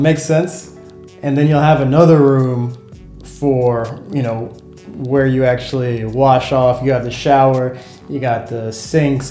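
A young man with an American accent talks casually in an easygoing, lightly amused manner. His voice is soft-edged and mellow. The room adds noticeable echo, and music plays behind him. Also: mid-range voice. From United States. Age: young adult. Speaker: vlogger. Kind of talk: casual chat to camera. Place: small room in a home, indoors.

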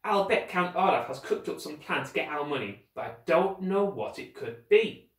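An earnest, bookish young boy in a storybook, read by a man. He sounds thoughtful and worried, choosing words hesitantly, with lively pitch in a roomy space. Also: moderate pace, recorded from a distance.

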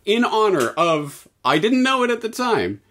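A man's voice: moderate pace, background noise. A man in his forties hosting a casual video call conversation on a headset from a room at home, with dry humor. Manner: dry, wry humor with lively pitch swings. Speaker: host.

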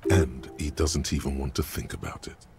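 A man voicing a grave, brooding narrator in a game, reading in a measured, literary way. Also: steady tone. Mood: grave, watchful. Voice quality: very deep, gravelly. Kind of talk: scripted second-person game narration.

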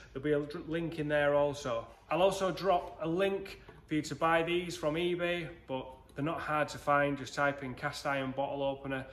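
Chatty man in an echoey workshop. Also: fairly high pitch. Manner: chatty, relaxed, addresses viewers as guys. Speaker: woodworking channel host. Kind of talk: casual workshop tutorial. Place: indoor woodworking workshop. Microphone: handheld phone camera, moving. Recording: echoey workshop with faint noise.

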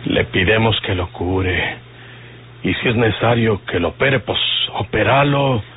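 An actor playing a determined, rustic outlaw leader in a radio drama, with a rural northern Mexican accent and a firm, colloquial way of talking. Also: male voice, on the low side, unhurried, breathy edge. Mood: insistent. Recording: lo-fi hissy old radio recording.

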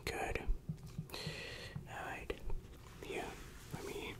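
An ASMR performer in a breathy whisper, playing a bossy, secretive underground dealer in a hushed roleplay. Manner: slow, hushed, secretive delivery with repeated phrases.

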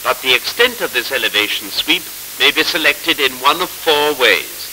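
Male narrator, 1940s instructional film, very sharp bright tone, lively intonation, formal and measured, scratchy hissy soundtrack. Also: mid-range voice.

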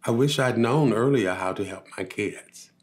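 A man in his sixties reading a first-person testimonial as an expressive, emphatic storyteller. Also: medium pitch, normal speed. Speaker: reader of a father's story. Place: indoors against a dark backdrop.

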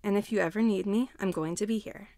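Woman reading as a calm soft-spoken guy who teaches daycare, story narration, dry sound. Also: medium pitch, fast talker, no echo, natural voice. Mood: reassuring. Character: reluctant, gentle male daycare teacher.

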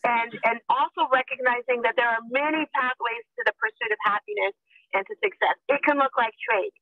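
A woman's voice: fairly high pitch, expressive, fairly quick, no echo. A woman in her forties giving an earnest, impassioned campaign speech as a political candidate over a video call. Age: 40s. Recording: tinny phone-like call audio.

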